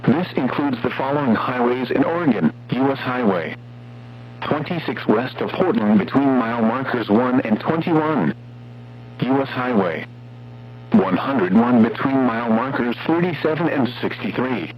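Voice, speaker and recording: A synthetic male voice reading scripted weather warning bulletins in a formal, flat manner as an automated radio announcer. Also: medium pitch, normal speed. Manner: formal, flat synthetic text-to-speech delivery. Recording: muffled, noisy weather radio with hum.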